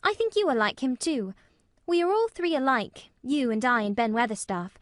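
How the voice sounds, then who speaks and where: A woman acts out a young storybook girl with a high voice. She is blunt, solemn and earnest, speaking emphatically and plainly in a dry, close sound. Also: lively intonation, moderate pace, no room sound. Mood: frank. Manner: frank, matter-of-fact, emphatic. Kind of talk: acted storybook dialogue.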